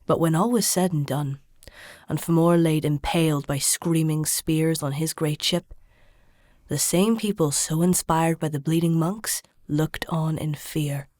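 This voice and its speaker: A solemn woman chronicler telling dark fantasy lore. Her voice sits low and is recorded very dry and close. The delivery is grave, scripted and deliberate, like formal storytelling. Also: no echo. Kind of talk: scripted dramatic narration.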